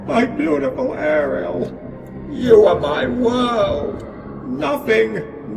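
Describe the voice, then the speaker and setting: An echoing, distant voice over music, a broken, vengeful old-world sorcerer in a gothic audio drama. He is anguished and theatrical, painfully slow, with a creaky voice and wide swings in pitch. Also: exaggerated voice. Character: grief-maddened male ancient sorcerer, vengeful and broken. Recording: echoey, distant, music underneath.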